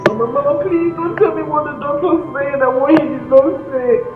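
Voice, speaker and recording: An actress with a Nigerian accent playing a distraught young injured daughter, despairing and in pain in a film melodrama. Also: female voice, sits high, fairly quick, lightly acted. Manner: wailing, despairing. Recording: echoey, dull lo-fi, music behind.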